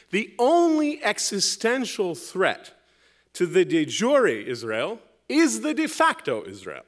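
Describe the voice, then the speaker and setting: A man from Lebanon delivering a prepared debate speech, emphatic and argumentative, leaning on rhetorical questions. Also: sits high. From Lebanon, grew up in West Beirut. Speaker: debate panelist. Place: university debate hall.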